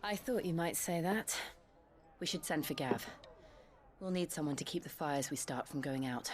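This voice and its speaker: Game heroine, composed and shrewd, lower register, understated naturalistic delivery. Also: female voice, animated, normal speed. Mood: calm, wry. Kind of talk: naturalistic video game cutscene acting.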